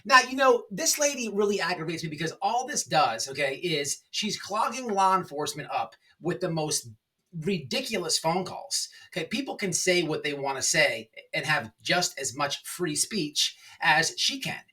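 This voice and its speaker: A man hosting an informal opinion commentary, speaking in a halting, repetitive, emphatic and sarcastic way. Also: on the high side, sing-song, bright, forceful tone, far from the mic. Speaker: online commentary show host.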